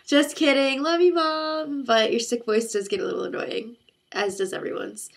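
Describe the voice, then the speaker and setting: Young woman vlogger with a congested cold, crisp bright voice, chatty and rambling. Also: high-pitched, steady tone, unhurried. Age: young adult. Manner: chatty, rambling storytelling with many fillers. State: congested, sick voice. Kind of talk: casual chat to camera.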